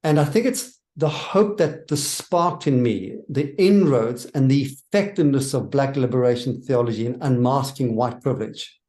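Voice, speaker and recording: A man's voice: mid-range voice, moderate pace, hardly any pauses, mellow. Earnest South African man, reflective. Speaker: church worker and author interviewed. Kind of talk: earnest reflective interview answers.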